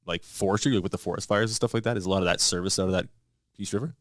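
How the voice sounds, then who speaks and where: A man's voice: low voice, rapid-fire, no room sound. A man, casually asking questions as a podcast host in a studio.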